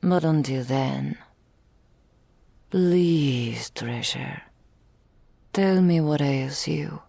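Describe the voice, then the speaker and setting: A refined, hoarding dragon matriarch, acted by a woman for an intimate ASMR roleplay. She speaks slowly and grandly in a low, creaky voice, doting and possessive. Phrases soften into whispers, all very close and dry. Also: dry recording, full character voice. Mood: tender, resigned. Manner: grandiloquent, slow, affectionate, with breathy whispered phrases.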